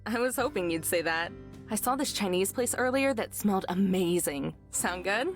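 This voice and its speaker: A woman's voice: mid-range voice, lively intonation, moderate pace, lightly acted. An actress playing a reassuring, easygoing young woman in a visual novel. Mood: upbeat, relaxed. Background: soft game music.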